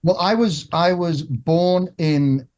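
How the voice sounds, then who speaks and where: A middle-aged man from England, a guest guitarist, talking in a casual interview with many restarts and pauses. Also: fairly high pitch, darkish tone. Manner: halting, many restarts and fillers.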